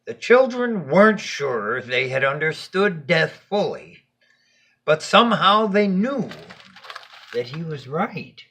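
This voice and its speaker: A man tells a bedtime story with a husky voice pitched on the high side. His delivery is unhurried and dramatic, with wide swings in pitch. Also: sing-song, bright, forceful tone. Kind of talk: expressive bedtime story narration.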